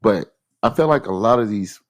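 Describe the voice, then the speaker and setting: A man's voice: fairly low pitch, expressive, normal speed, dry recording. A man, co-hosting a casual podcast chat in a laid-back, rambling, slangy way. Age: 30s. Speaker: podcast co-host.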